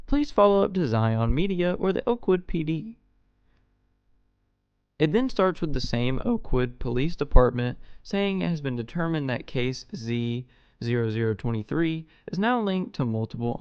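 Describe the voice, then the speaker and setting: A man's voice: mid-range voice, darkish tone, smooth, no room sound, dull audio. A man hosting a video essay, giving a scripted explainer narration.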